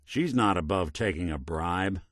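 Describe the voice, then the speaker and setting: An actor playing a weary, wry but kind synth private detective in a video game, with an American accent and a folksy old-time gumshoe delivery. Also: male voice, low-pitched, animated, unhurried, a bit bright, dry recording, studio quality. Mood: businesslike. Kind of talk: noir detective game dialogue.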